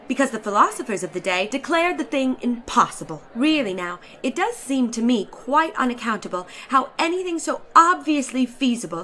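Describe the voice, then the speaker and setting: A woman giving a dramatic, expressive audiobook reading of a satirical story in letters, stretching some phrases for effect. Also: fairly high pitch, a little hiss or hum. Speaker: narrator of a classic short story.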